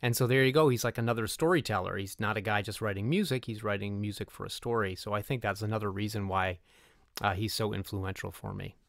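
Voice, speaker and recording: A man's voice: on the low side, quick pace, gravelly, a bit bright, no echo, clean studio sound. A middle-aged man answering interview questions in a conversational, talkative, enthusiastic way. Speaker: interview guest, music composer.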